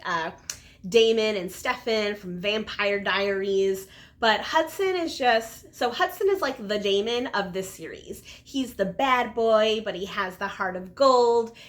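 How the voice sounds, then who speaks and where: A woman's voice: medium pitch, a bit bright. A woman talking casually to viewers as a book reviewer, conversational and emphatic on key words. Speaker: book reviewer, video host. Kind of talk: casual book chat to camera.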